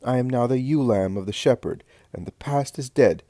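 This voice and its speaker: A man's voice: medium pitch, lively intonation, moderate pace, warm tone, dry recording, studio quality, lightly acted. A man voicing a fierce, defiant woman of imperious faith, commanding and thundering, in a dramatic story reading. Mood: scornful, proud.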